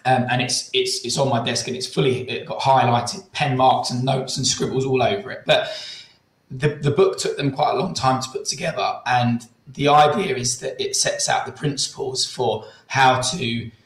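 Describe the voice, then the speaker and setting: Man, relaxed, lots of ums, echoey room, video call. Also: medium pitch, normal speed. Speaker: webinar guest speaker. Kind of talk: casual webinar talk.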